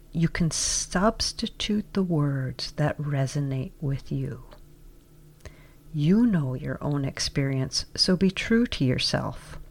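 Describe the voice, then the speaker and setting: Low-pitched, slow woman guiding a meditation. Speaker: meditation guide. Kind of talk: guided meditation, scripted narration.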